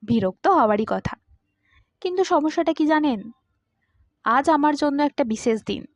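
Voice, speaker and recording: A courteous, talkative man of about forty in an audiobook, read by a woman, confiding and wistful. The voice sits high, and the sound is dry and free of echo. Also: animated, moderate pace. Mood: polite, coaxing. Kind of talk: audiobook character dialogue.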